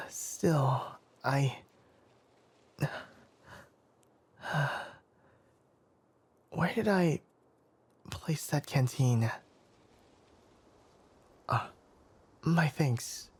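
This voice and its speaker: A man playing a flustered, formal young fox-eared forest scholar in an intimate ASMR anime roleplay, hesitant and stammering, often slipping into a breathy whisper. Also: medium pitch, drawn-out words, pauses often. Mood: embarrassed, strained.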